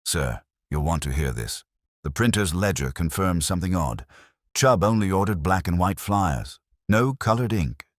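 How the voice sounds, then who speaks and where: Man voicing an earnest, deep-voiced police sergeant. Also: lively intonation, normal speed, no echo, studio quality. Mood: eager.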